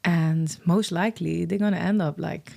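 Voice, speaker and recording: A woman's voice: fairly low pitch, fairly even intonation, moderate pace, creaky, dark tone. A middle-aged woman with a Dutch accent, openly and reflectively telling her life story as an interview guest. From Netherlands. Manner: open, reflective storytelling with fillers. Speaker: guest, founder of a travel business. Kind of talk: candid interview conversation.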